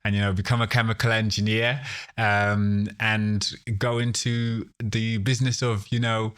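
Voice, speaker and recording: Male interviewee, fairly low voice, conversational, halting delivery. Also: level tone, fairly bright tone, smooth. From Ghana, later the UK. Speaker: podcast guest, sustainability professional.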